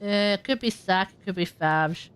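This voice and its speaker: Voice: mid-range voice, normal speed, fairly bright tone, clear, resonant tone. A live streamer giving casual gaming commentary, thinking aloud and talking to the chat.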